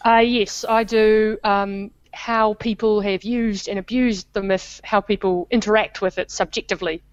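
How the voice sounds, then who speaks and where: A woman with a New Zealand accent explains things at a measured pace as an interview guest. Her voice is smooth and clear, heard close and dry, with a slightly muffled, dull tone. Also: medium pitch, steady tone, no room sound. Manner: measured, explanatory, with small restarts. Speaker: academic guest. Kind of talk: interview answers.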